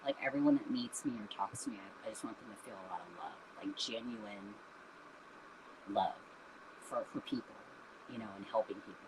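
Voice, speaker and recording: A woman's voice: very deep voice, fairly quick, noisy background. A woman in her fifties, a singer who founded her band, answering interview questions in an earnest, reflective way with many "you know"s and "um"s. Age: 50s. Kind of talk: candid conversational interview answers.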